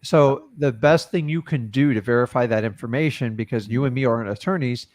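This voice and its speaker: A man's voice: mid-range voice, a little fast, darkish tone. A man hosting a coaching call, giving blunt and casual advice to students over a video call. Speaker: host and wholesaling coach.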